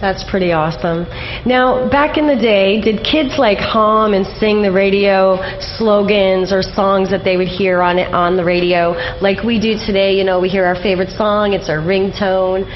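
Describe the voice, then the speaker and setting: A woman's voice: mid-range voice, fairly even intonation, moderate pace. A woman hosting a radio show, interviewing a guest in a conversational way. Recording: dull lo-fi audio, background noise.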